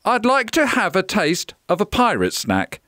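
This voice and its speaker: Man as a little boy, storybook hero, high, plucky, fussy, hugely animated. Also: measured pace, no room sound, studio quality, heavily acted. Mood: brave. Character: brave, fussy little boy, lively and outspoken.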